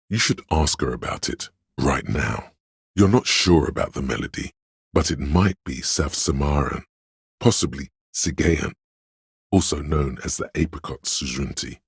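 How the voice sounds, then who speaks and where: A man's voice: unhurried, no echo, studio quality, exaggerated voice. A man voicing a pedantic, know-it-all encyclopedic inner voice for a game, with erudite, dryly wry explanatory narration. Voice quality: very deep, gravelly, husky.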